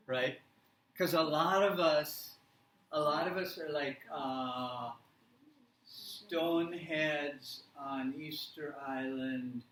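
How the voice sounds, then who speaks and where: A man presenting an interactive workshop for teachers, speaking haltingly and emphatically with frequent pauses and check questions. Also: fairly high pitch, fairly bright tone. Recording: roomy, distant mic, faint noise.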